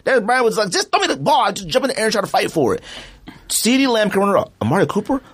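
A man's voice: high voice, quick pace, husky. A man co-hosting a podcast, ranting in a loose, slangy, rambling way about a football team's season. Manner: animated, rambling, slangy rant. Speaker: podcast co-host. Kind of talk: casual sports chat.

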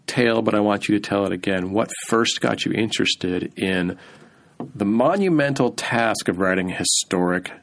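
Man, podcast host, from Southern California, gravelly, lowish voice, chatty. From Southern California, USA. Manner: conversational, quick to chime in. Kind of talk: podcast interview.

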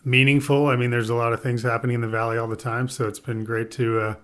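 Man, midwest upbringing, podcast guest, relaxed and rambling, mid-pitched voice. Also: quick pace. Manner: relaxed, rambling, with fillers and laughs. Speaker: guest winemaker. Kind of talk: casual interview chat.

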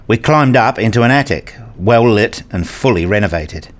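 A man's voice: lowish voice, expressive, normal speed, natural voice. An actor playing an enthusiastic, curious grown man who narrates his visit in a scripted radio play. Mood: measured, reflective. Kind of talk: scripted first-person narration and acted dialogue.

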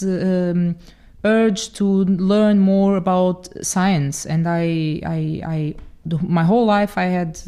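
A woman in her forties, from the Dominican Republic and Portugal, telling her story as a podcast guest with many pauses and restarts. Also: medium pitch, mellow. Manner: hesitant, many fillers and restarts. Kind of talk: casual interview talk.

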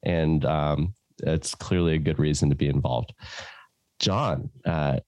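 A man's voice: extremely low pitch, measured pace, a little mellow, no room sound. A man hosting an interview in a jokey, easygoing way. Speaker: podcast host.

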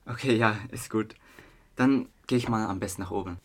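A man's voice: fairly low pitch, moderate pace. A man with a Swiss German accent playing a friendly, slightly awkward teenage boy in a youth radio play. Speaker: actor in an audio drama.

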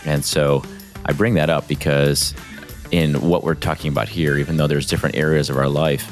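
A man's voice: a bass voice, little pitch movement, fairly quick, faint music behind. A man hosting a faith podcast, speaking earnestly with many fillers and restarts. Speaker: podcast host. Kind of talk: faith podcast intro and interview.

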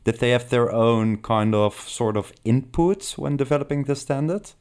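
Smooth-voiced male interviewer. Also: medium pitch, fairly even intonation, moderate pace, a little mellow. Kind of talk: conversational interviewing.

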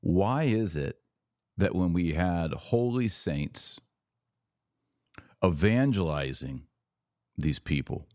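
A man with a very low voice talks at an unhurried pace, giving sardonic, emphatic commentary on his own podcast. The recording is close and dry, with no room sound. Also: lively intonation, darkish tone, no room sound. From Texas. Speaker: podcast host. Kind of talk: opinionated solo commentary.

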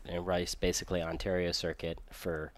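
A man from Michigan, raised in Virginia, telling his story as a guest in a relaxed, rambling way. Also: low-pitched, normal speed. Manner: rambling, easygoing storytelling with fillers. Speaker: guest race car driver. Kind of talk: casual podcast interview.

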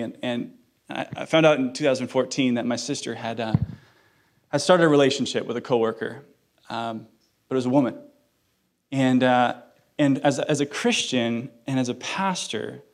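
A man in his thirties, a pastor, giving a sermon with personal stories, joking and self-deprecating, then earnest. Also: medium pitch. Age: 30s.

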